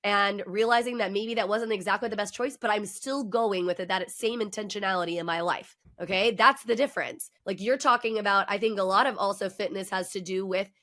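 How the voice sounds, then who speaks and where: A woman hosting a podcast, talking in a casual, emphatic way with a loud voice and frequent "right?" and "okay?" asides. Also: mid-range voice, fairly even intonation, brisk, few pauses. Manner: self-described loud voice. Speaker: podcast host. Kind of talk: casual conversation.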